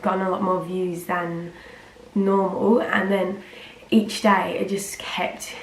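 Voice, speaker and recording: A teenage girl, slightly croaky from a cold, rambling casually to camera with lots of fillers. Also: on the low side, flat delivery, slow pace. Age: teens. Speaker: vlogger. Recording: roomy with faint ambient noise.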